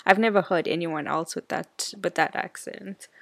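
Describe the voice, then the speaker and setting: A woman's voice: lowish voice, fairly even intonation, moderate pace, creaky, fairly bright tone, no room sound. A woman co-hosting a podcast, chatting in a friendly way and asking the guest questions. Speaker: podcast co-host. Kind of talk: casual podcast chat.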